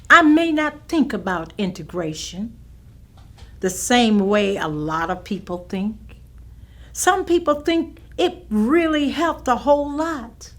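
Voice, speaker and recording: An actress playing a firm, caring older schoolteacher who tells her recollections in an emphatic, measured way in a staged reading. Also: sits high, a bit bright, heavily acted. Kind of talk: staged reading of oral history testimony.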